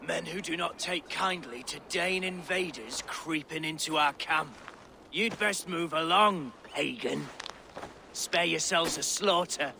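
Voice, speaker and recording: An actor playing a hostile English bandit leader in a video game, gravelly and contemptuous, with an English accent. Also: male voice, fairly high pitch, full character voice. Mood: menacing. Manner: slow, contemptuous. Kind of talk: cinematic video game villain acting. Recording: loud outdoor ambient noise.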